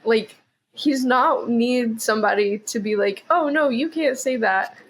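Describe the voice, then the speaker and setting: A woman's voice: fairly high pitch, a little slow, poor audio. A woman chatting casually as a podcast guest, rambling with lots of "like" and "um". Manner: rambling, heavy use of "like" and "um". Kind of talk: casual chat.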